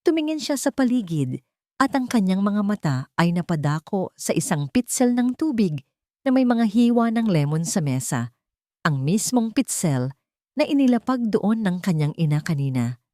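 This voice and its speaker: A woman's voice: medium pitch, very animated, moderate pace, dark tone, no echo, studio quality. A woman with a Filipino accent narrating a scripted story dramatically, as a storyteller.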